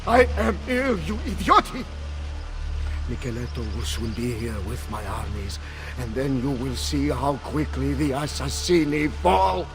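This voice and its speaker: A man's voice: mid-range voice, sing-song, measured pace, over music. An actor playing an arrogant, power-hungry nobleman villain, imperious and theatrical, in a video game cutscene. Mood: angry, threatening.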